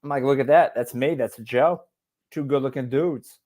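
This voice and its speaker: A man's voice: medium pitch, moderate pace, darkish tone. A man co-hosting a casual podcast, talking bluntly and with heavy swearing as he rants. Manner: blunt, profane, fired-up ranting. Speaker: podcast co-host.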